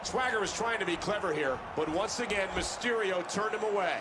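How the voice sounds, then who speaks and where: A man performing as a dramatic, urgent play-by-play wrestling announcer in a video game, calling matches with excitement. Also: sits high, fairly quick, heavy background noise, lightly acted.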